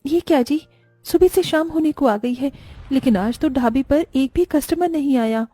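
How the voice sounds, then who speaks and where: A woman's voice: high voice, moderate pace, warm tone, no echo, soft background music, natural voice. A woman voicing a caring, resourceful wife in a cartoon, speaking in an earnest, concerned way. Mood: worried. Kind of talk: scripted cartoon dialogue.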